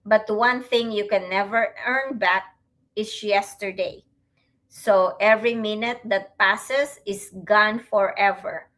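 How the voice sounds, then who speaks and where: A middle-aged woman with a Filipino accent hosting a livestream, talking to viewers in a reflective, halting way. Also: medium pitch, steady tone, fairly bright tone. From Philippines. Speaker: host, online business owner.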